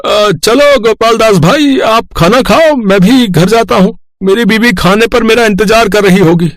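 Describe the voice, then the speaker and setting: High-pitched nosy village neighbour. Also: male voice, a little slow, dry recording, clean studio sound. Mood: awkward, retreating. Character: nosy, meddling middle-aged male village neighbour.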